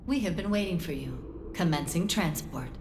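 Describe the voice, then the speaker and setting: A woman's voice: low-pitched, lively intonation, moderate pace, heavy background noise. A woman voicing a polite computer terminal in a video game, making formal, procedural announcements. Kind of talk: video game cutscene acting.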